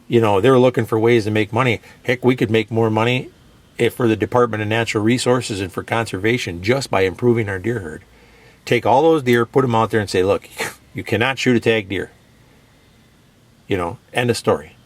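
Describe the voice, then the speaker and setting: A man's voice: on the low side, a little fast. A man hosting a radio show, talking in an emphatic, opinionated, casual way and leaning on "you know" and "guess what". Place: radio studio.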